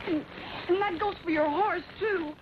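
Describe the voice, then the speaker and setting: A woman's voice: very high-pitched, slow pace. An actress playing a feisty, indignant young woman in an old western drama, scolding with huffy sarcasm. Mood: furious, huffy. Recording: old muffled recording with clear noise.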